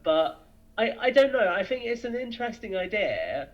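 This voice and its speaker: A somewhat high-pitched man talks as a podcast guest, casual and enthusiastic. He speculates earnestly and eagerly. The sound is slightly muffled and lo-fi. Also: big pitch swings, moderate pace. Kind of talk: casual, enthusiastic fan discussion.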